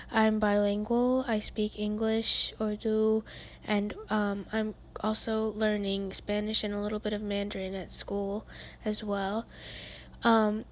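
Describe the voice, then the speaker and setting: A teenage girl from the United States reading a prepared podcast segment as co-host, earnest and measured, with frequent ums. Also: medium pitch, lo-fi sound. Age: teens.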